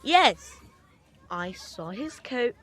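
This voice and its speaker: Woman playing a bright-voiced, stubborn little boy. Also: on the high side, very animated, extremely slow, exaggerated voice. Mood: sheepish. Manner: blunt, defiant, childlike. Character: cheeky, stubborn, mischievous young boy. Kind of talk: audio drama acting.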